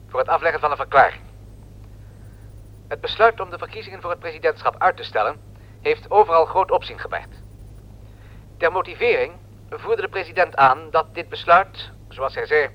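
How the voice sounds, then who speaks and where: A man playing an earnest foreign correspondent, giving a formal, measured news report in a radio drama. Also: on the high side. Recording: muffled old radio, correspondent's telephone link.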